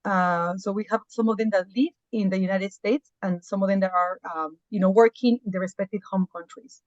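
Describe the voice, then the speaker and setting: A woman talks earnestly as a podcast guest, often pausing on fillers. Her voice sits at a middle pitch. The sound is dull and dry, like a lo-fi call. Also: steady tone, no echo. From Peru. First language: Spanish. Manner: earnest, with fillers and non-native phrasing. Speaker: physician guest. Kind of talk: podcast interview.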